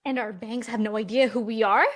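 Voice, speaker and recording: An actress as an anxious millennial twin with a fairly high voice, reading a romcom script. She is practical and insistent, earnest in a dramatic way and easily exasperated. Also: steady tone, fairly quick, close to an everyday voice. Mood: panicked. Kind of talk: table read of romcom script.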